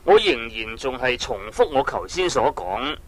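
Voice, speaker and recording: A wise master tailor with a mid-pitched voice and lively intonation. He speaks Hong Kong Cantonese as an encouraging mentor in an audio storybook, measured and instructive. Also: gravelly. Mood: approving. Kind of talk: 1980s audio storybook drama acting.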